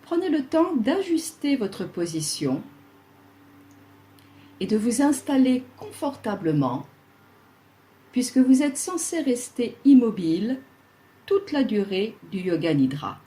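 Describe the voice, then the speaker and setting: A woman leading a guided yoga relaxation, speaking soothingly and leaving long pauses between short instructions. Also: on the high side, big pitch swings, dark tone.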